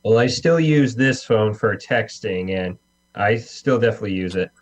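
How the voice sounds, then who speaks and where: A man chatting casually in a halting way, rephrasing as he goes, over a laptop video call. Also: fairly low pitch, expressive. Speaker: guest actor. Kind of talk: casual chat. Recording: dull laptop microphone audio.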